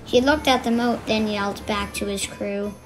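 A woman reading a children's storybook aloud in an expressive narrating style. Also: medium pitch, moderate pace, background noise. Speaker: children's book reader. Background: music.

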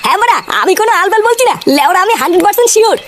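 A brash, cheeky Bengali village troublemaker from a comic cartoon. The voice is extremely high and quick, full of crude swearing, over faint background noise. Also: female voice, lively intonation. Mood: insistent.

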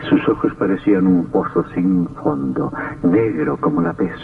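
A man's voice: on the low side, sing-song, normal speed, creaky. A man narrating a scripted horror radio drama in an ominous, dramatic manner. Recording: tinny, distant old radio recording, faint music.

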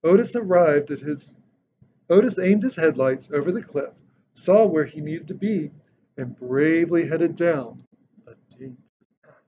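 A man in his thirties reading a children's picture book aloud with expressive, dramatic storytelling. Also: a little high, a little slow. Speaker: storybook reader. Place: indoor decorated room. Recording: dull lo-fi sound with background noise.

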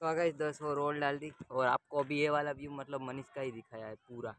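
Young man, chatty vlogger, outdoor cricket ground, camera at arm's length, mid pitch. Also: fairly even intonation, fairly quick, fairly bright tone, a little hiss or hum. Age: young adult. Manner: chatty, addresses viewers directly. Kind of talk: casual vlog narration. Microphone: handheld camera at arm's length.

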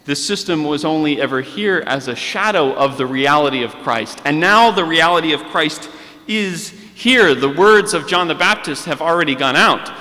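A man in his thirties preaching a sermon at a pulpit microphone in a large church sanctuary, earnest and deliberate, reading scripture aloud. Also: on the high side, lively intonation, sharp tone. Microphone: pulpit microphone on a stand. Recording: long church reverb.

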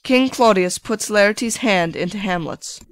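A woman's voice: medium pitch, a little slow, no room sound. A woman reading out stage directions as the narrator of a play, in scripted narration.

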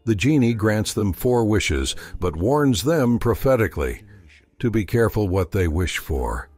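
A male host delivers scripted introductions in a deep, husky voice. His pitch varies widely over faint music. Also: very animated, measured pace, a little mellow. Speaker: channel host introducing stories. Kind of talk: scripted narration.

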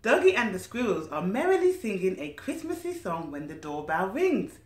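Lady reading a kids storybook, super lively up and down voice, asks questions, echoey living room. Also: medium pitch, normal speed. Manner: expressive, asks the listener questions. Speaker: nanny reading a storybook to children. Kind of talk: animated children's story reading with asides. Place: small living room, indoors. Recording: roomy living-room echo.

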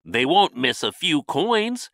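A man's voice: on the high side, sing-song, slow pace, gravelly, fairly bright tone, no room sound, studio quality, pitched above the performer's own voice, heavily acted. A man voicing a timid, wondering poor hero in a storybook, earnest and exclamatory. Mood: pondering.

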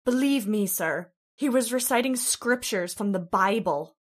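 A woman's voice: mid-range voice, expressive, measured pace. A woman playing an earnest, fearful young female figure in an old-time radio horror drama. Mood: earnest testimony.

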